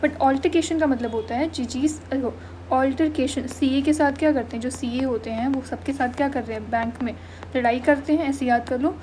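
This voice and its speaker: A woman's voice: high voice, moderate pace, a little mellow, slight background noise. A young woman teaching English vocabulary in an exam-prep class, mixing Hindi and English, often checking in with "okay" and "done". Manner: mixes Hindi and English, frequent "thik hai" and "done" check-ins. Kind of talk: online class explaining vocabulary.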